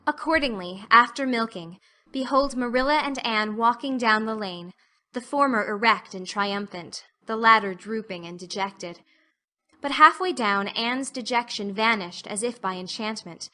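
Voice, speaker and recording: A woman narrates an audiobook. Her voice sits a little high and has a bright, forward tone. The delivery is scripted storytelling. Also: normal speed.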